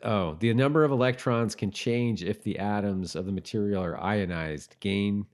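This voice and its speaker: Guy with a lowish voice just chatting on a podcast, kinda halting and repeating himself. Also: a little mellow. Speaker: podcast co-host. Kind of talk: casual rewatch podcast chat.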